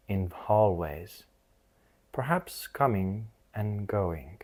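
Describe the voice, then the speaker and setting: A man leading a scripted guided meditation in a soothing, measured manner, speaking in short phrases with long pauses. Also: fairly low pitch, a little mellow.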